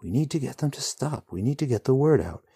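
Man reads determined heroine, lifted pitch, dark gravelly tone; earnest, pressing. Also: big pitch swings, moderate pace, dry recording, clean studio sound, exaggerated voice. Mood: urgent. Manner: earnest, urgent. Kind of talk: storybook reading.

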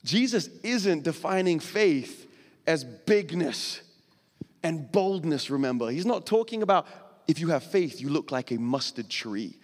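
A male pastor preaching a sermon on a church stage into a microphone, explaining in a conversational way with dramatic pauses. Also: fairly high pitch, expressive. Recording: long hall reverb.

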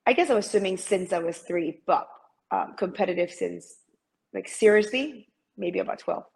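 A woman's voice: mid-range voice, normal speed, vocal fry, poor audio. A young woman from Malaysia answering interview questions about her swimming career, hesitant, with many fillers and restarts. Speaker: interviewee, former competitive swimmer.

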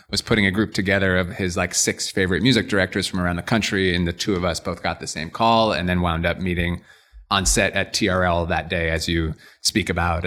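A man in his thirties from New York, a podcast guest who tells animated, joking stories. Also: low voice. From Scarsdale, New York. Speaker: guest, restaurant guide co-founder. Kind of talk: casual podcast interview.

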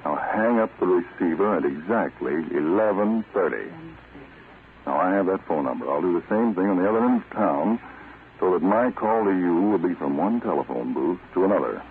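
A man's voice: low voice, lively intonation. A man playing a terse, authoritative counter-spy chief in a 1940s radio drama. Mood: grave, businesslike. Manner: terse, authoritative, deliberate instructions. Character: commanding male American counter-spy chief, brisk and secretive. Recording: tinny, noisy vintage radio recording.

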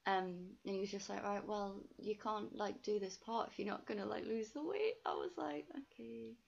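A young woman with a soft Geordie accent mixed with posh English, chatting candidly and informally as a podcast guest, on slightly dull audio. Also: mid-range voice, normal speed, gravelly, husky. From North East England. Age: young adult. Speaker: podcast guest, ballet dancer. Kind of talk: casual chat.

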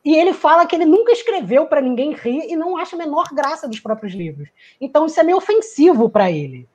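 A woman with a high voice gives a reflective talk, speaking like someone from the theater. She muses aloud and searches for words. When she quotes people, she briefly acts out their lines. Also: big pitch swings, fast talker, a little mellow. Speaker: theater performer giving a talk.

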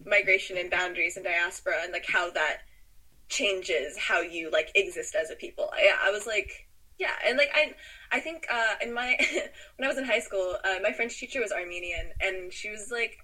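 A young woman, a poet, talks as a podcast guest. Her voice is bright and forward at a middle pitch. She rambles thoughtfully, filling her speech with likes and soft laughs. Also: moderate pace, poor audio. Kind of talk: casual conversational podcast chat.

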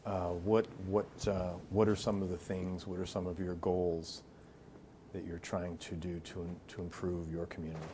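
A man hosting an interview podcast, asking halting questions that he restarts midway. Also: deep voice, little pitch movement, warm tone, a little hiss or hum. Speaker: podcast host.

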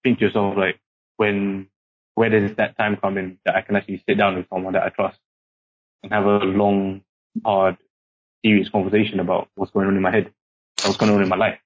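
A man's voice: sits low, little pitch movement, fairly quick, pauses often. A young man who grew up in Singapore, talking casually with a British accent and rambling through many fillers. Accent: British English, code-switching from Singaporean. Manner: rambling, heavy use of filler words. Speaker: podcast guest. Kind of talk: casual chat. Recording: muffled lo-fi podcast audio.

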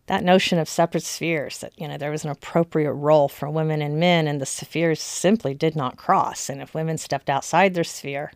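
A woman's voice: low-pitched, fairly even intonation, normal speed, hardly any pauses, dry recording, clean studio sound. A woman historian being interviewed, explaining conversationally and stressing key words.

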